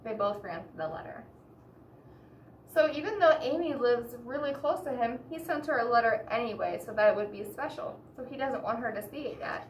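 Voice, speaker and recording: Higher-voiced woman reading a storybook, roomy echo. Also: lively intonation, a little fast. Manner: asks the listeners questions and explains gently. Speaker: children's story reader. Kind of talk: expressive picture-book read-aloud with asides to young listeners. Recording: roomy echo, distant mic, background hiss.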